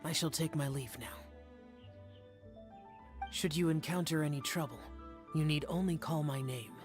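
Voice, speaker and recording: A man's voice: a little high, moderate pace, music in the background, close to an everyday voice. A man playing a stern, aloof, youthful immortal guardian in a video game, with formal, reserved phrasing. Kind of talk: video game character voice acting.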